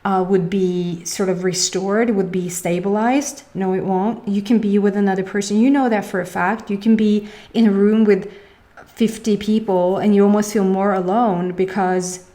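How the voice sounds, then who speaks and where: A woman's voice: mid-range voice, fairly even intonation, normal speed, mellow. A young woman with a Swedish accent, a native Swedish speaker, giving a casual tarot reading and often searching for her words. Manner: rambling, searching for words, many fillers. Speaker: tarot reader.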